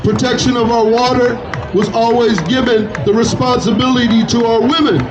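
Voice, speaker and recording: A man giving a loud, slow, emphatic speech outdoors at a street protest. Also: extremely high pitch, level tone. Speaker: protest speaker. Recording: dull lo-fi sound, distant from the mic. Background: crowd and music.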